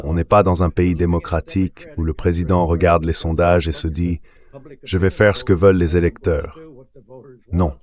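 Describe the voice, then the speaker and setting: Deep synthetic male political commentator. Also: darkish tone. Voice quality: synthetic text-to-speech voice. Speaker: political and economic commentator, interview guest. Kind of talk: translated interview commentary, read by AI voice.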